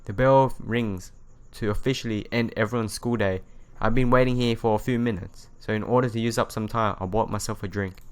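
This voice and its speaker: A man's voice: on the low side, fairly even intonation, brisk, hardly any pauses, smooth. A man reading visual novel narration aloud as a let's player, telling it expressively and sometimes stumbling and rereading phrases. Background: music.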